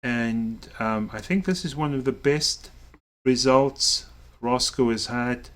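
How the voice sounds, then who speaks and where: A man's voice: medium pitch, slow. A man with a mixed South African and Canadian accent, hosting a casual show-and-tell video as a series organizer and rambling with many fillers. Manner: rambling, filler-heavy, relaxed.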